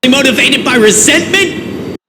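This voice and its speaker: A woman playing a passionate, defiant young video game hero with an impassioned, forceful delivery. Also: high-pitched, lively intonation, a little slow. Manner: shouting, impassioned. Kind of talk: dramatic video game voice acting. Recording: very noisy, echoing game audio with reverb.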